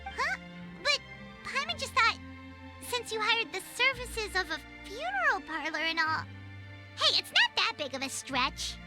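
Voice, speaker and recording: A woman voicing a tiny, cheeky, excitable childlike fairy companion in a video game, squeaky and bubbly. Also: a little slow, loud music behind the voice, exaggerated voice. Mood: concerned, confused. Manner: refers to self in third person, bubbly. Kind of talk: English video game voice acting.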